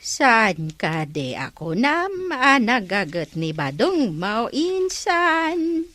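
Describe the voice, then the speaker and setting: Actress as easygoing village woman, Ilocano accent, unhurried, animated intonation, comedic radio drama. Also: on the high side, a little hiss or hum, heavily acted. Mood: friendly.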